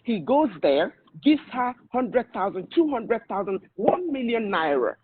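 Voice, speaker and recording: A man with a Nigerian accent holds forth on a podcast, laying down blunt advice in a lecturing tone. His voice runs high and has a husky grain. The sound is narrow and tinny, like a phone call. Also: sing-song, unhurried, dry recording. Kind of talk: opinionated podcast discussion. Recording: tinny phone-line audio.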